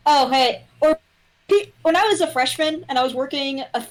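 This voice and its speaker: A woman's voice: high-pitched, normal speed. A young woman guesting on a livestream, chatting casually and telling anecdotes over a slightly noisy line.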